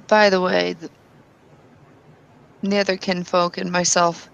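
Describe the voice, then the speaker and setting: A woman's voice: fairly low pitch, vocal fry, muffled recording. A woman playing a tabletop roleplaying game, speaking in character, earnestly and with some hesitant restarts.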